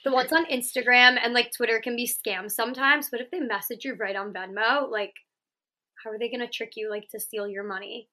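A woman's voice: on the high side, fairly even intonation, brisk, sharp tone. A woman in her twenties hosting a podcast, chatting casually and giggling often. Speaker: podcast host. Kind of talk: casual chat.

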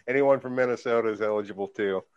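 A man from Canada hosting a casual livestream chat, relaxed and joking as he runs a giveaway. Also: on the low side, a little fast, lo-fi sound, a crowd in the background. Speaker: show host.

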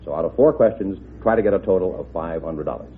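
Low-voiced male announcer on old radio. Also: fast talker. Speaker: quiz show announcer and sidekick. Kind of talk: announcing rules and scores to contestants. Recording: noisy, tinny old radio broadcast.

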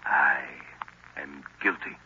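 An actor playing a sinister, commanding professor and hypnotist villain in 1930s radio drama style, speaking slowly and with menace. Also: male voice, rumbling low. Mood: cold, suspicious. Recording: noisy dull 1930s radio recording.

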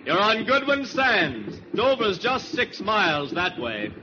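An actor playing a clipped, bitter, vengeful English skipper with a British accent in a 1940s radio drama. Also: male voice, sits high, very animated, takes it slowly, noisy background, heavily acted. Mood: coldly mocking. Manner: clipped, grimly sardonic. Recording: dull lo-fi 1940s radio, music behind.

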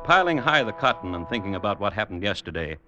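A man's voice: fairly low pitch, very animated, fast, highs cut off. A man narrating an old-time radio drama in a wry, conversational storytelling manner. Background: music.

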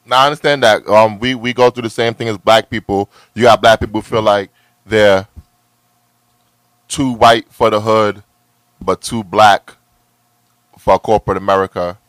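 A man hosting a casual podcast, laid-back and slangy, giving shout-outs between questions. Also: lowish voice, steady tone, normal speed, long pauses, no room sound. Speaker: podcast host.

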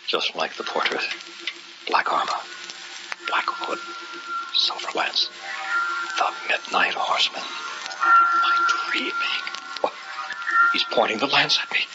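A man's voice: a little high, wildly swooping pitch, measured pace, long pauses, over loud music. A man acting an awestruck, frightened character in an old-time radio horror play, breathless and melodramatic, on an old radio recording. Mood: dread. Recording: muffled old radio recording, loud effects.

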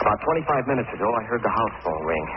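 An actor playing an earnest, nervous young man with a hurried manner in a 1940s radio mystery. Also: fairly low pitch, very animated. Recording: muffled, noisy old radio recording.

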